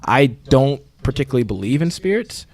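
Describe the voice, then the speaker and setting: A man's voice: medium pitch, big pitch swings, a little fast, a little mellow. An actor playing an earnest, rambling male podcast host who hesitates and placates his guests in a mock interview. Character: earnest, apologetic male investigative podcast host, skeptical of spirits.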